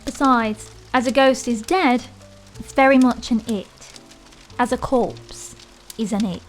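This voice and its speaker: Woman playing prim logical young lady, fairly high voice, arch and pedantic, audio drama, faint music. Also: unhurried, lightly acted.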